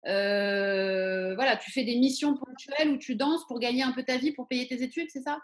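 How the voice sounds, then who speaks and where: A woman's voice: high voice, moderate pace. A woman in her thirties co-hosting a debate over video call, asking guests questions. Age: 30s. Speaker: co-host. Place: indoor room, video call.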